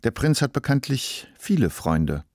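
A man playing a wry, composed wealthy employer in a literary radio drama, speaking calmly, deliberately and with irony. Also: mid-range voice, no echo, studio quality.

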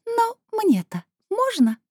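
A woman reader voicing a playful, coaxing female being with a soft, enveloping mezzo voice in a storybook. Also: very high voice, huge pitch swings, takes it slowly, no room sound, studio quality, voice pushed up, full character voice. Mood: coy, playful. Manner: purring, teasing, coaxing.

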